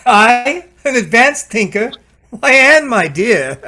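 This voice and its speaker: A man's voice: extremely high pitch, sing-song, takes it slowly, sharp tone, heavily acted. A man playing a jovial, opinionated Edwardian husband in a radio drama, pompous, genteel and teasing. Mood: amused, teasing. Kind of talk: old-time radio drama acting.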